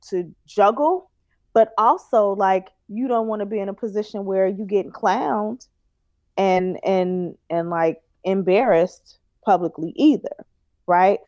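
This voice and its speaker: A woman's voice: mid-range voice, dry recording. A woman in casual chat, thinking aloud carefully, with frequent ums and likes as she weighs her words. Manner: thoughtful, hedging with ums and likes.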